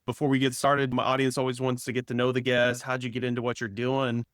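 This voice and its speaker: A man's voice: medium pitch, completely flat delivery, fast, fairly bright tone, no echo. A man in his forties hosts a casual podcast chat. His questions come across upbeat and conversational, in a mid-range voice. The sound is dry and close to the mic. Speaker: podcast host.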